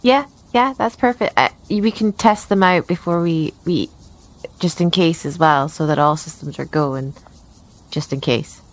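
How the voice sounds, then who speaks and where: A woman's voice: low-pitched, normal speed, rough recording. A woman playing a tabletop role-playing game, talking casually in character.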